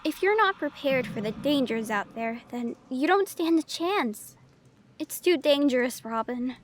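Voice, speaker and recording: A woman's voice: sits high, lively intonation, measured pace. A woman playing a young cave lioness, a protective and cautious wilderness guide in an audio drama. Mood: stern, cautioning.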